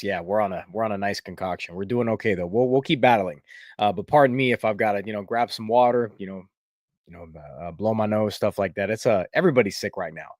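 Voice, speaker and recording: A man's voice: on the low side, no room sound, clean studio sound. A man with a stuffy, congested voice hosting a casual live sports chat in a rambling, filler-heavy way. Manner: rambling, chatty, many fillers. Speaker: podcast host.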